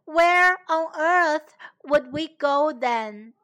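Woman voicing frightened small animals, high and pleading. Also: lively intonation, very slow, fairly bright tone, soft background music, heavily acted. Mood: panicked pleading. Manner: pleading, exclamatory. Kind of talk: children's storybook acting.

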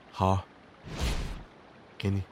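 Man as a low-voiced, indulgent spirit keeper. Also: noisy background. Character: gentle, indulgent male storehouse keeper, mildly amused. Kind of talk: fantasy audio drama acting.

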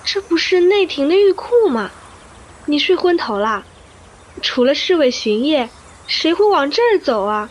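A young princess acted by a woman, fussy and caring like an elder sister. She has a very high voice in audio drama style, over faint background noise. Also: big pitch swings, unhurried, highs cut off. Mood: worried scolding. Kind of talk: Chinese audio drama acting.

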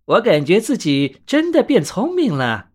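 A man's voice: high-pitched, wildly swooping pitch, a little slow, voice pushed up, exaggerated voice. A man voicing a cheerful, trusting living scarecrow in a children's storybook, eager and emphatic. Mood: proudly happy.

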